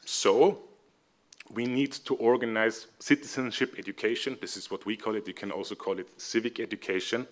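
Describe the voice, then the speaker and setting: A man's voice: on the low side, fairly even intonation, normal speed. A young adult man with an Austrian accent giving a conference presentation, friendly and hesitant with many fillers. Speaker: seminar speaker, civic educator.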